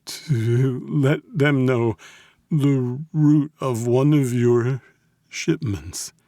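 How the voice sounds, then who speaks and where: A man voicing a frightened, tearful businessman held captive in an audiobook, halting and whining as he pleads. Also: fairly high pitch, animated, gravelly, a little mellow, no echo, studio quality, pitched above the performer's own voice, full character voice. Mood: terrified, tearful. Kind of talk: audiobook character acting.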